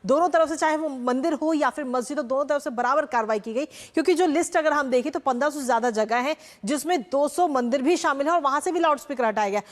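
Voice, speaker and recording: A woman's voice: high-pitched, fast talker, hardly any pauses. A woman presenting television news as an anchor, emphatic and repeating her key phrases. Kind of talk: live Hindi news presenting.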